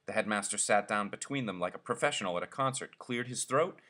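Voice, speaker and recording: A man's voice: on the low side, fast, pushed and bright. A man narrating a short story expressively, as a reader telling it aloud.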